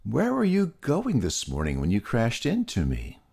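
A man voicing a gentle, absent-minded male pig who talks in a mild, wondering way. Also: mid-range voice, wildly swooping pitch, moderate pace, creaky, breathy edge, voice pushed up, exaggerated voice. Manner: gentle, dreamy.

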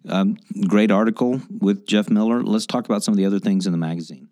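Slightly raspy male radio co-host. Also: fairly low pitch, fast talker, mellow. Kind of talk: casual radio talk show chat.

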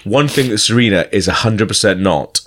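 A man's voice: fairly low pitch, lively intonation, brisk, a bit bright. A man co-hosting a podcast, chatting casually about a TV episode, laughing often and swearing freely. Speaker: podcast co-host. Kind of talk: casual chat reviewing a TV episode.

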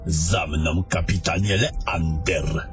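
A man's voice: low-pitched, steady tone, slow pace, over music. A man playing a scornful, domineering battle mage in a fantasy audio drama, with a commanding and coarse way of talking.

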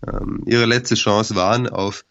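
A man with a cold, hoarse, hosting a casual sports podcast and hesitating with many filler sounds. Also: lowish voice, fairly even intonation, takes it slowly, a bit bright, dull audio. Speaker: podcast co-host.

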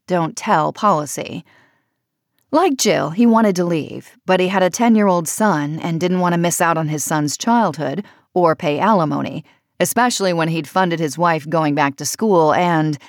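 Low-pitched woman narrating, very dry sound. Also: no echo. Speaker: audiobook narrator reading an essay. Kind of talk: scripted narration, personal essay audiobook.

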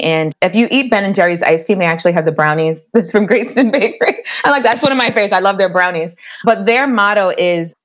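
A woman talks as a guest on an interview podcast, her voice sitting a little low. She tells her story in a lively, talkative way and laughs easily between thoughts. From the Bronx, New York. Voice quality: laughs often, animated storytelling. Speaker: guest, professor. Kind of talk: conversational interview.

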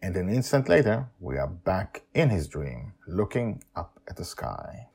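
Brief: male podcast host reading a serial story, low voice, very lively delivery. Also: measured pace, creaky, husky. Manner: animated, chatty asides.

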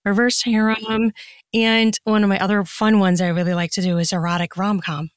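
A woman's voice: mid-range voice, flat delivery, normal speed, no room sound, studio quality. A woman talking casually and chattily as an interview guest, expanding freely on her answers. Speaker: podcast guest, erotica author and audiobook narrator.

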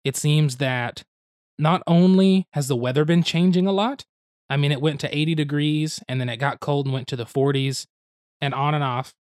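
Slightly hoarse man chatting casually. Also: a little high, normal speed, a little mellow, dry recording, clean studio sound. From Oklahoma. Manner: rambling, easygoing. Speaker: podcast co-host. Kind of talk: casual chat.

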